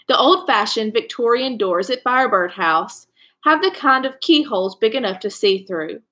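A woman's voice: mid-range voice, bright, forceful tone. A young woman reading a children's novel aloud in a storytelling style, indoors at home. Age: young adult.

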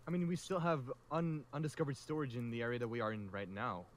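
Man acting easygoing, medium-pitched young survivor. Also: fairly even intonation, fast talker, close to an everyday voice. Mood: reasoning. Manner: hesitant, placating. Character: easygoing, conciliatory young male zombie-apocalypse survivor. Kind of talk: improvised game roleplay.

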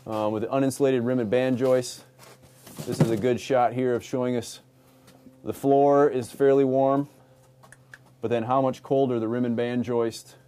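A man in his thirties, an energy auditor, explaining an on-site home inspection in a relaxed way with many fillers, recorded in a house basement. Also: mid-range voice. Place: basement crawl space and attic of a house.

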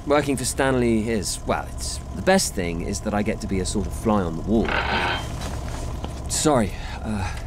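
A man's voice: lowish voice, normal speed, natural voice. Courteous actor as a man, vehicle noise behind. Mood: modest, weary. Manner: polite, understated, hesitant. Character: devoted male film director's assistant, former actor, courteous, weary. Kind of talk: radio drama acting. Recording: clear background vehicle noise.

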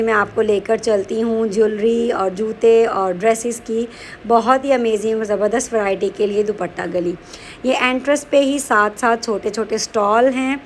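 A woman's voice: a little high, a little hiss or hum. Chatty Pakistani woman narrating a market tour. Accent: Pakistani Urdu. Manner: chatty, upbeat, mixes in English words. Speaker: vlogger. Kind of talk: shopping vlog voice-over.

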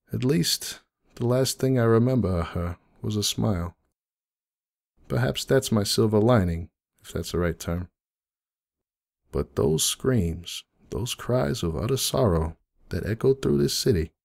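A man as a gravelly, grieving pony cafe owner. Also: mid-range voice, darkish tone. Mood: grief-stricken. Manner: emotional, halting, heartfelt. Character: grieving male cartoon pony cafe owner, kindly big-brotherly, bitter. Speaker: dramatic reader of fan fiction. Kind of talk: dramatic first-person monologue reading.

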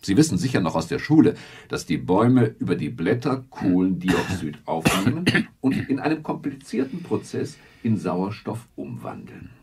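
An actor playing a pompous, evasive head of government in a radio play, speaking in a stately, gravelly, husky voice. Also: male voice, low-pitched, sing-song, a little mellow, slight background noise. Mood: solemn, reassuring. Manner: stately, halting speechmaking. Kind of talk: German radio play acting.

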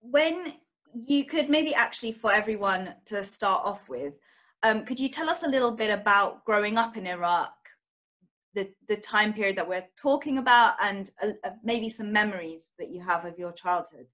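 A woman's voice: mid-range voice, lively intonation, moderate pace, fairly bright tone. A woman hosting an online interview, guiding her guest in a friendly, hesitant way with many fillers.

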